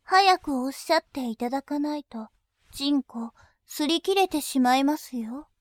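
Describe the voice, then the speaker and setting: A woman playing a dominating female bank clerk, high voice, unhurried, formal and deliberate, dry close sound. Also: animated, no room sound. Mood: polite teasing. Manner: very formal polite speech, slow and deliberate. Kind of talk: adult audio drama acting.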